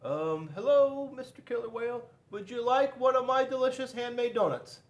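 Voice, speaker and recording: A man voicing a polite but fickle panda in a storybook, making slow, deliberate offers and refusals. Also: very high-pitched, voice pushed up. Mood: inviting, coaxing.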